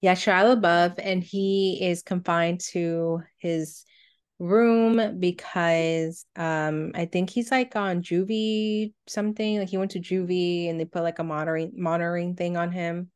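A woman with a fairly low voice talks as a podcast co-host. Her delivery is casual and conversational, as if she is thinking aloud while she explains things. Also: steady tone, unhurried, clean tone.

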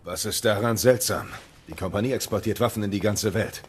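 A man playing a gruff, commanding knight with terse delivery in a dramatic video game audio play. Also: lowish voice, brisk, slight background noise, close to an everyday voice. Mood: firm, skeptical.

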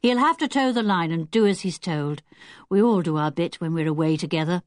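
A woman's voice: lowish voice, fairly quick, dry recording, studio quality, lightly acted. A woman voicing a cheerful, polite, confident teenage boy in a children's audiobook, eager and well-spoken with a British accent. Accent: British English. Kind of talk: children's audiobook character acting.

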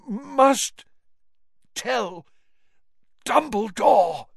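A man's voice: on the high side, animated, normal speed, a bit bright, dry recording, clean studio sound, voice pushed up. A man voicing a deranged, exhausted older official who gasps out broken, rambling words. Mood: gasping, desperate. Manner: broken, gasping, rambling speech.

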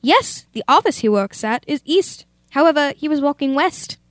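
Young woman as genteel unbothered lady, high voice, deadpan, radio comedy, dry sound. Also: normal speed, no room sound. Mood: matter-of-fact. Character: blithe, unbothered lady, sister of a murdered man. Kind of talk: comic old-time radio theater acting.

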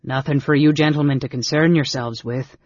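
A man voicing a gruff, weary innkeeper in a fantasy audiobook, with blunt, folksy rustic speech. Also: medium pitch, fairly even intonation, a little fast, no echo, lo-fi sound, natural voice. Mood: sad, blunt.

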